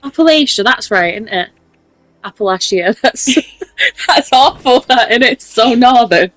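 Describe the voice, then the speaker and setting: A woman's voice: fairly high pitch, animated, unhurried, fairly bright tone, no room sound. A woman with a Mancunian accent, giggling and chipping in with short replies beside the host.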